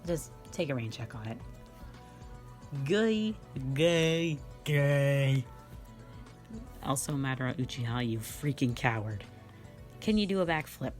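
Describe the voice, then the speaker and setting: A woman's voice: very deep voice, expressive, takes it slowly, pauses often, a little mellow, faint music behind. A young woman hosting a casual question-and-answer chat, giggly, rambling and playfully self-mocking. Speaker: online channel host and amateur voice actor.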